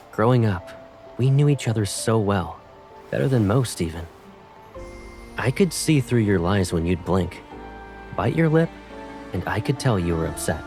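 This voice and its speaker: A young military colonel in a dramatic game cutscene, controlling and protective. His low voice is intimate and tightly controlled, with brooding intensity over prominent music. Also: lively intonation, a little slow, pauses often, darkish tone. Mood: wry.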